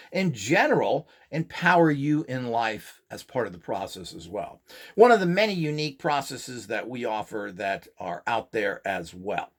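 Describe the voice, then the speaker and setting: A man giving an informal explainer talk as an instructor and seller, lecturing in an opinionated, emphatic way. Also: on the high side. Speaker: instructor and seller of esoteric devices and courses.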